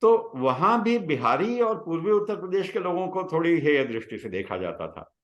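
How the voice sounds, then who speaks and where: An older man shares reflective personal stories. His voice sits a little high and moves with animated swings of pitch, broken by halting pauses. The room adds a noticeable echo. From Bihar, India. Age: 60s. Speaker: guest, retired scientist. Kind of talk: reflective personal storytelling in conversation. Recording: roomy, echoing sound.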